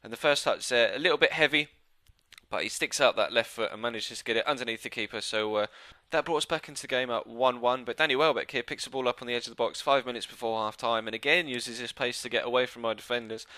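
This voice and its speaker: A man talks his way through a football match he played, his voice bright and crisp with a slightly stuffy, cold-coming-on nasal edge. He rambles casually with plenty of "uh" fillers, close to a home microphone with a dry, unechoing sound. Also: mid-range voice, fast talker, no room sound. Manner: chatty and relaxed, many "uh" fillers and restarts. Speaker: gameplay video commentator. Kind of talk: casual recorded commentary over football game footage. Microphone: new desk microphone.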